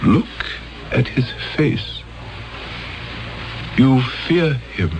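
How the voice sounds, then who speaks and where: An actor playing a solemn, coldly insistent elder in a 1970s radio drama, speaking in measured, commanding phrases. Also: male voice, medium pitch, lively intonation, over music, poor audio. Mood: hypnotic, commanding. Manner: measured, commanding, deliberate pauses.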